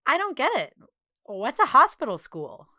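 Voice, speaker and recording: A woman voicing an excitable, outspoken schoolgirl in a storybook, exclaiming and questioning. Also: high voice, moderate pace, no echo, clean studio sound, voice pushed up, exaggerated voice. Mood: bewildered.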